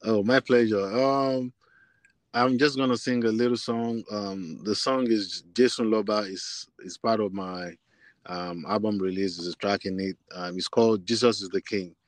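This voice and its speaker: A man's voice: on the low side, steady tone, moderate pace, no echo. A man from Nigeria, a guest musician, answering hesitantly with many fillers. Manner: hesitant, filler-heavy speech. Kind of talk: interview answers and singing.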